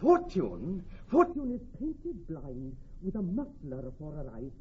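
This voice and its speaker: Pedantic officer, emphatic, very lively pitch, stage-trained delivery, muffled hissy radio recording. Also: male voice, takes it slowly, heavily acted. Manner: fussy, emphatic explaining. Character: pedantic, earnest, excitable Welsh army captain. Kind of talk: theatrical Shakespearean radio acting. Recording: dull, noisy old radio recording.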